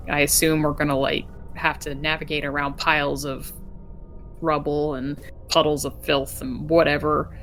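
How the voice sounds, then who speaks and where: A woman playing a tabletop game, chatting casually in a wordy, inquisitive way and often rephrasing herself. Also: sits low. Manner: inquisitive, wordy, self-checking. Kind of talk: casual chat. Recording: clear background noise, room ambience.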